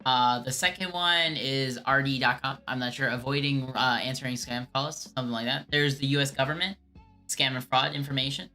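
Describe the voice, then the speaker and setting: A woman streamer who does scam baiting, answering viewer questions in a halting, earnest way with frequent restarts. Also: a bass voice, steady tone, pushed and bright, faint music behind.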